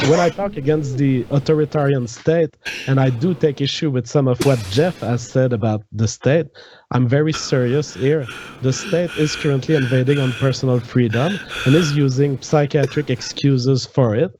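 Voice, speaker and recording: Male podcast guest, French Canadian accent, native French speaker, earnest storytelling in mid-range pitch. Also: moderate pace, a little mellow, a little hiss or hum. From Quebec, Canada. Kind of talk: earnest personal account in a podcast debate.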